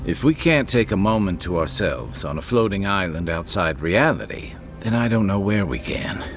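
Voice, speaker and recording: Low male voice, loyal weathered soldier, sincere, video game, music behind. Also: big pitch swings. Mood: grave, wry. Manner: sincere, measured.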